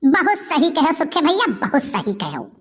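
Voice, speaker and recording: Someone voicing a very shrill Awadhi village wife, a woman. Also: huge pitch swings, unhurried, full character voice. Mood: friendly chatting. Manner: nagging, complaining. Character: sharp-tongued scolding Awadhi village wife. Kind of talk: comedy cartoon dialogue.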